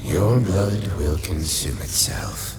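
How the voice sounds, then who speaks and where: An actor playing a stern, imperious undead lich who speaks tersely into a vampire's mind in a dark fantasy radio play. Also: male voice, low-pitched, animated, slow pace. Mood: grave, measured. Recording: music behind the voice.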